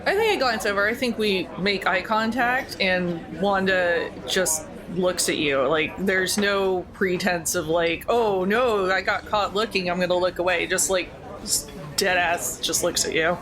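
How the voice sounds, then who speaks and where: A woman's voice: fairly low pitch, unhurried, a crowd in the background. A woman playing a tabletop role-playing game, describing her character in an informal, dry way. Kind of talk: casual descriptive role-play chat.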